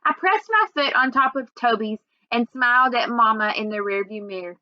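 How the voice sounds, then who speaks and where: A woman reading a children's novel aloud in an expressive storytelling way. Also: fairly high pitch, moderate pace, dry recording, muffled recording. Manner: expressive, acts out dialogue. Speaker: reader.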